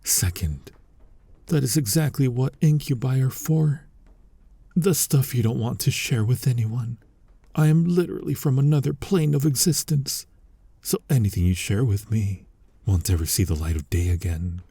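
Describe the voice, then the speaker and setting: A man playing a flirty, dominant incubus demon in an intimate ASMR roleplay, teasing the listener in a husky, breathy voice that often drops to a whisper. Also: mid-range voice, lively intonation, warm tone, no room sound. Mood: coaxing, reassuring. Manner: slow, sultry, teasing, addressing listener directly. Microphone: close mic, dry.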